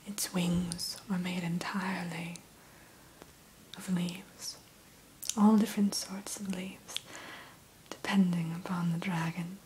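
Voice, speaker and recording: A woman whispering softly and slowly into a close microphone, hosting an ASMR roleplay as a dragon hatchery keeper and telling the listener about each dragon. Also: on the low side, level tone, a little hiss or hum, low-quality recording. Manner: soft, slow unvoiced whisper; gentle, storytelling tone addressing the listener.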